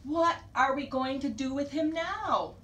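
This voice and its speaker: A woman's voice: high-pitched, unhurried, a bit bright. A woman voicing a flustered, exasperated mother in a storybook reading. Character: flustered grown-up mom, exasperated. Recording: roomy distant recording with faint hiss.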